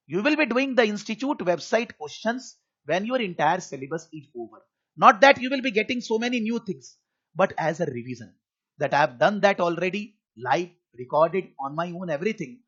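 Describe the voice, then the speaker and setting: A middle-aged man with an Indian English accent teaches an online tax class from a home office. He speaks high and a little husky, earnest and repetitive, reassuring his students. The recording is muffled and lo-fi. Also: fairly bright tone. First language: Hindi.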